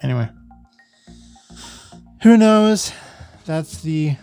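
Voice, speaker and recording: A man hosting a solo podcast, talking casually and reflectively in halting phrases. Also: fairly high pitch, expressive, darkish tone, clean tone, soft background music. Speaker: podcast host.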